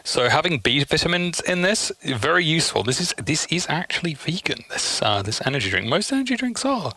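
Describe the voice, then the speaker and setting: Bright-voiced, sweary male livestreamer. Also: a little high, sing-song, a little fast, husky. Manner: sweary, effusive, theatrically exasperated. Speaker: livestream host. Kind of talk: casual chatty livestream talk to chat.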